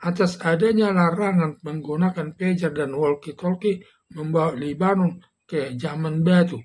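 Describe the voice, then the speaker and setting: Older male commentator, slightly high and raspy, formal, halting read from a script. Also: darkish tone. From Indonesia. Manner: formal, halting delivery with stumbles over words. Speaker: legal commentator, retired prosecutor and law lecturer.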